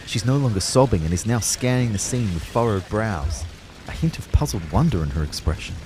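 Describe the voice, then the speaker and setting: An actor playing a bewildered male ghost, narrating his afterlife in a brooding, measured way for a scripted audio drama. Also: lowish voice, lively intonation, noisy background, lightly acted. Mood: somber, tense.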